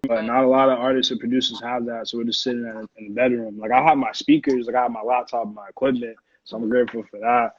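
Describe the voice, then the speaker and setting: A man's voice: mid-range voice, little pitch movement, quick pace, few pauses, slightly rough, dry recording. An American man from Connecticut, a music producer chatting casually as an interview guest in informal slang peppered with "like". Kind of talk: casual chat. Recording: dull, lo-fi audio.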